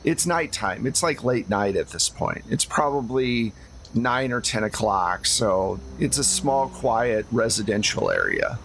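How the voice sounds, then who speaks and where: A man runs a tabletop roleplaying game as game master, with a mid-pitched voice. He improvises scene description and chats with players between narration, over faint background noise. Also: lively intonation, unhurried, a bit bright.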